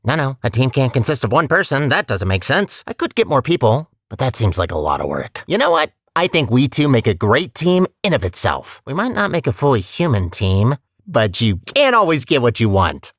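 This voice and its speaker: Wildly animated, scheming robot core. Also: male voice, medium pitch, normal speed, dry recording, studio quality. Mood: wry, bargaining. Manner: rambling, wry, self-interrupting. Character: chatty, scheming robot core, nervously upbeat and sardonic. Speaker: game character guide. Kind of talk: video game voice acting.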